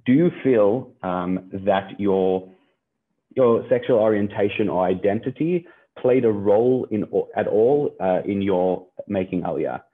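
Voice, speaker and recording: A man's voice: fairly low pitch, measured pace. A South African man hosting an online panel, speaking in a friendly way with loose phrasing and fillers. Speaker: panel host. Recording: tinny phone-like line.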